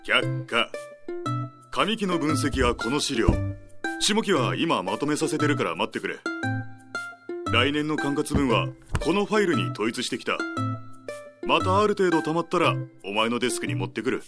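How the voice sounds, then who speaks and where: A man's voice: medium pitch, big pitch swings, moderate pace, long pauses, close to an everyday voice. A man playing a stern, rule-bound tiger officer in an audio drama, curt and businesslike. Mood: firm, unyielding. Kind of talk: Japanese audio drama acting. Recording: music bed behind the voice.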